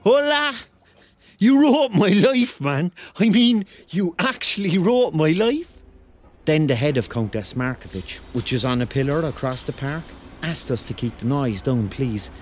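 A Cork man in his thirties narrating a surreal radio drama. He is rambling and excitable, with a highly animated, swooping delivery and a chatty, colloquial turn of phrase. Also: measured pace, faint music behind. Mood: excited. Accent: Cork Irish. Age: 30s. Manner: colloquial, conversational storytelling. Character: rambling, excitable thirty-year-old Corkman on a surreal night out. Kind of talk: radio drama acting, first-person narration and dialogue.

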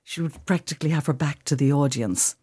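A woman's voice: low voice, a little fast, dark tone, breathy edge. A woman from Limerick with a Limerick Irish accent, chatting thoughtfully as a radio guest and often repeating phrases as she searches for words. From Limerick, Ireland. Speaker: actress and director, guest. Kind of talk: casual radio interview, reminiscing.

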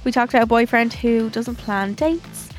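A woman's voice: fairly high pitch, moderate pace, soft background music. A woman co-hosting a podcast, chatting casually, swearing and laughing. She is stuffed up with a cold. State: congested with a cold. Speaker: podcast co-host. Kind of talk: casual chat.

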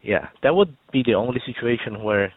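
A man's voice: medium pitch, animated, brisk, breathy edge, no room sound. A man with a Brazilian accent talks earnestly and with emphasis, in a medium voice. He is heard through a narrow, phone-like line. There is no room sound around him. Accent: Brazilian Portuguese. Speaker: caller. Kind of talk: radio phone-in chat.

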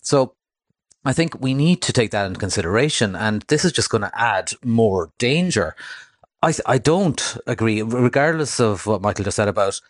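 A man from rural Cork with an Irish accent, making measured, reasoned points as an expert guest in a live radio discussion. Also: fairly low pitch, lively intonation, no room sound, clean studio sound. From rural County Cork, Ireland. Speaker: motoring editor, interview guest.

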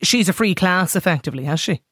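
A man hosting a radio phone-in, brisk and affable as he reads out listener messages and brings in callers. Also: high-pitched, animated, a bit bright, no echo, studio quality.

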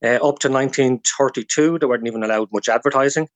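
A man's voice: mid-range voice, quick pace, dry recording. A man with an Irish accent talking as a guest historian in a radio interview, explaining things informally through anecdotes.

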